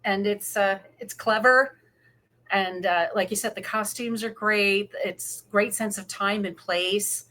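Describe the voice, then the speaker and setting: Female podcast co-host with a crisp bright edge and mid-range pitch, chatting casually. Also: steady tone, measured pace. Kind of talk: casual film and book discussion.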